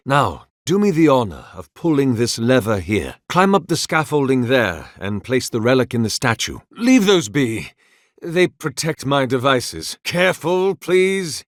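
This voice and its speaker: A man acting a video game role as a weary, courteous, devout scholar-priest of a sun god, speaking in measured, formal, reverent phrases. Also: on the low side, dry recording, clean studio sound, exaggerated voice. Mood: courteous, insistent, then alarmed. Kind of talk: video game character dialogue, formal and archaic.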